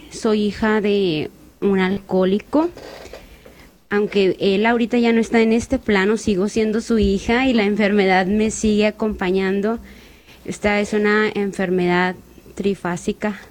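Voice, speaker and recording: A woman's voice: medium pitch, darkish tone. A woman giving a reflective, heartfelt personal testimony as a guest on a discussion panel. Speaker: Al-Anon member, guest on panel.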